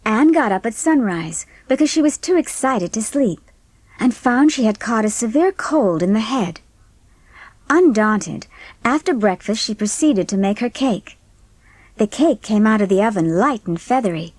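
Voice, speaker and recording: Slightly husky woman narrating a story. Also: a little high, lively intonation, normal speed, a little mellow, no echo. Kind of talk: scripted audiobook storytelling.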